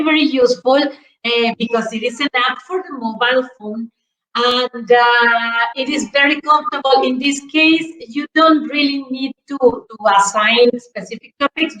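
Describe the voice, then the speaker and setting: Woman teacher, high voice, echoey call. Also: fairly bright tone. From Mexico. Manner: explanatory, many fillers and repeated words. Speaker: English teacher presenter. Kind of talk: online conference Q&A answer. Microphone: video call.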